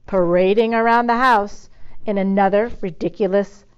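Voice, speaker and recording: A woman narrating a children's story expressively, pausing dramatically on key words. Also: medium pitch, fairly even intonation, clear, resonant tone, lo-fi sound. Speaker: storybook reader.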